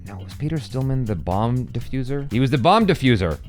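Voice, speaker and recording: A man hosting a reaction show, chatting casually in an animated, enthusiastic way. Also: medium pitch, fairly quick, soft background music. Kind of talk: casual excited chat.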